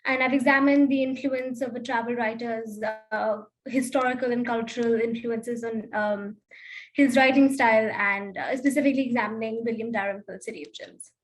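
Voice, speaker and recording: A woman's voice: fairly high pitch, steady tone, moderate pace, low-quality recording. Teenage girl answering a panel, articulate. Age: teens. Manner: articulate, listy, many fillers. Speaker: student panelist.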